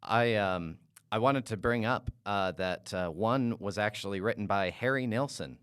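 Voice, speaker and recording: Low, smooth-voiced man interviewing. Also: moderate pace, fairly bright tone, no echo, studio quality. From Calgary, Canada. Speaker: interviewer, podcast host. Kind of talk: casual music interview.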